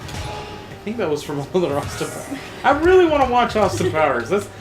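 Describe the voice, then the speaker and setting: A man's voice: high voice, sing-song, brisk, reverberant. A man co-hosting a let's play, chatting casually and joking with lots of fillers while game music plays. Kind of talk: casual gameplay commentary.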